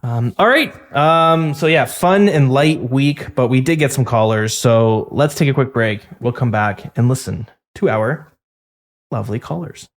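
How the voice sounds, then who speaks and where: A man hosting a podcast in casual chat, his voice a bit hoarse from getting sick, rambling with many fillers and restarts. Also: medium pitch, a little slow. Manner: rambling, filler-heavy, self-interrupting. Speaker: podcast host.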